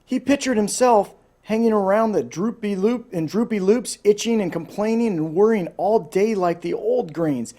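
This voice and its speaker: A man with a somewhat high-pitched voice reads a children's storybook aloud. He tells it expressively and drops into informal asides as a storyteller would. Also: moderate pace, hardly any pauses, dark tone. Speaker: storybook reader.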